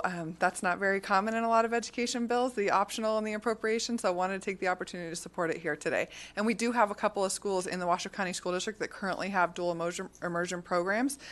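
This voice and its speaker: A woman testifies for a school district at a legislative hearing. She talks fast. Her manner is friendly, with some verbal fillers. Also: fairly low pitch, fairly bright tone. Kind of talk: formal public testimony. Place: legislative committee hearing room.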